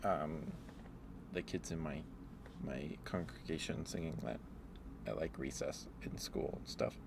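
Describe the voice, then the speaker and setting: Low-voiced man, rambling ASMR chat. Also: a little slow. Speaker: ASMR streamer. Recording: clearly audible background noise.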